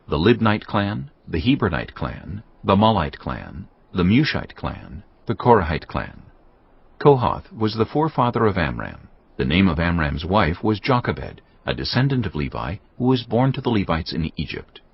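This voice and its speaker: Very deep male scripture narrator. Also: big pitch swings, moderate pace, vocal fry, slight background noise. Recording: slightly muffled, music underneath. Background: background music.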